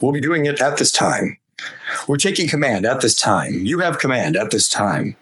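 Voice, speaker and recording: A man's voice: medium pitch, very animated, normal speed, a bit bright, slightly rough. A man hosting an opinion podcast, ranting casually in an exasperated, sarcastic way. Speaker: podcast host.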